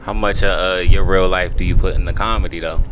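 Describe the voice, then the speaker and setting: Low-voiced podcast co-host asking questions. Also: male voice, fast talker, background noise. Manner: relaxed, hesitant, asks with fillers. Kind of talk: casual interview questions. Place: live podcast show.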